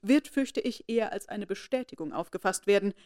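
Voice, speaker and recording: A woman's voice: mid-range voice, a little fast, natural voice. Woman reading a cool, composed young woman. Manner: calm, cool, quietly defiant.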